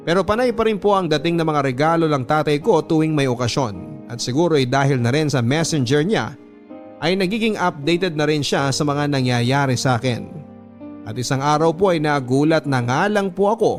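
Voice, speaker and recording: Filipino man narrating over music, higher pitch. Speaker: radio drama narrator. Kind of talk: scripted first-person story narration.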